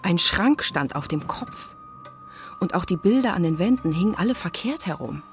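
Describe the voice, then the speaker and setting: A woman with a husky voice narrating a scripted children's radio play as storyteller. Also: on the low side, lively intonation, normal speed, faint music behind.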